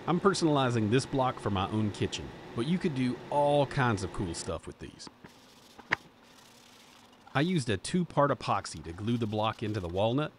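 A man's voice: mid-range voice, expressive, moderate pace, noisy background. A man, hosting a woodworking channel in an upbeat, jokey how-to manner from his home workshop. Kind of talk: scripted workshop narration.

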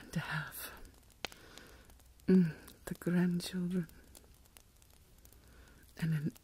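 A woman's voice: mid-range voice, darkish tone. A woman playing a fretful, melodramatic older Regency mother in a whispered ASMR roleplay, self-pitying and full of dramatic sighs, close to the mic. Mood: wistful, scheming. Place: indoors, lying in bed.

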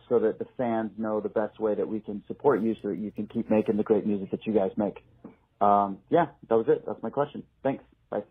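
A man's voice: fairly low pitch, breathy edge. A man from Utah leaving a voicemail, asking an earnest, rambling question. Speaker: caller. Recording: tinny phone voicemail.

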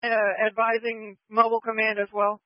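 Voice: mid-range voice, completely flat delivery, moderate pace, dry recording. A police dispatcher giving brief acknowledgements and relays over a police radio. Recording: muffled police scanner radio audio.

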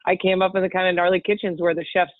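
A woman's voice: fairly low pitch, little pitch movement, fast, dry recording. A woman who is a chef and restaurant owner, answering interview questions in a direct, fluent way with an occasional um. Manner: direct, fluent, with um and you know. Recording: dry, tinny phone-like audio.

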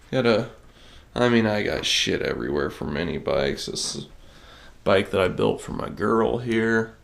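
Gravelly laid-back man rambling. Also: on the low side. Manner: rambling, many fillers and restarts; laid-back, self-deprecating. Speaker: mini bike builder. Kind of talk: casual walkaround vlog. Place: home workshop room, indoors.

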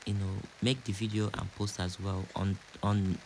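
A man's voice: deep voice, little pitch movement, moderate pace, a little mellow, slight background noise. A man from Nigeria with a Nigerian accent, chatting casually and repeating phrases as a home cook narrating a cooking vlog.

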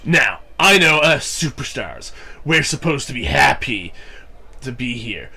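A man's voice: mid-range voice, very animated, a little slow, slightly rough. A young man with a cold, sounding nasal, casually commenting on a wrestling game with jokes and swearing, reading lines aloud. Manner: joking, swearing, bursts into loud mock cheers. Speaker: gaming YouTuber.

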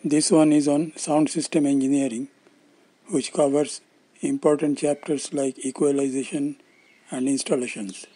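An elderly man with an Indian accent presents in a dark, relaxed tone. His voice sits a little high for a man. He explains things formally, as if from a prepared script. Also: normal speed, soft background music, poor audio. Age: older, around 70s. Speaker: presenter of loudspeaker-making videos.

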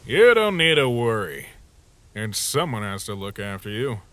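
A man voicing a brash, sarcastic younger skeleton brother with a husky voice in a comic dub, cocky and grumbling. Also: mid-range voice, very animated, slow pace, fairly bright tone, no room sound. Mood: grumbling, teasing.

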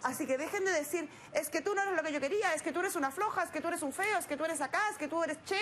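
TV judge, Chilean woman, high voice, stern and scolding. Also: fast talker, a bit bright, a little hiss or hum. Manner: blunt, scolding, rhetorical questions. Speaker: TV arbitration show judge. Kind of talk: stern courtroom lecturing. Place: TV studio set.

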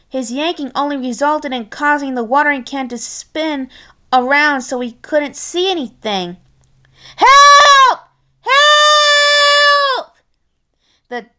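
A woman reading a children's picture book aloud expressively and deliberately, with chatty asides of her own. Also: sits high. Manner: expressive, deliberate, adds playful commentary. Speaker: storybook reader.

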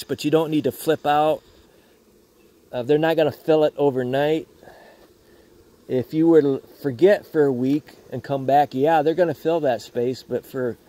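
A man's voice: mid-range voice, warm tone. An older man beekeeper chatting casually outdoors while working his hives, rambling with frequent restarts. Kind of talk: casual hands-on demonstration. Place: outdoors among beehives in a yard.

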